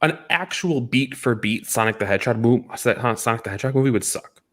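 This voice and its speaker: A man's voice: medium pitch, expressive, a little fast, a bit bright. A man co-hosting a casual film discussion, giving emphatic opinions and repeating points for stress. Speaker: podcast co-host reviewing films.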